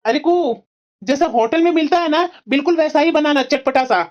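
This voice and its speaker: A woman's voice: high-pitched, a little fast, a little mellow, close to an everyday voice. A woman voicing a lazy, greedy freeloading husband in a moral-story cartoon, wheedling and demanding, with dull sound.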